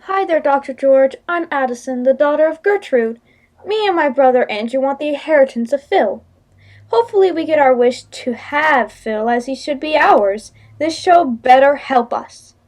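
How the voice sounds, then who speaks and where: High-pitched entitled daughter played by a young woman. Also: lively intonation, normal speed, darkish tone. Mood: eager. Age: teenager or young adult student. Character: entitled, neglected daughter, loyal to her brother. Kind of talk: student radio play.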